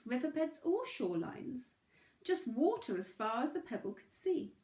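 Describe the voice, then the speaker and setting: Female storyteller, high voice, dramatic and expressive read, echoey room sound. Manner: animated, dramatic. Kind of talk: expressive children's story reading.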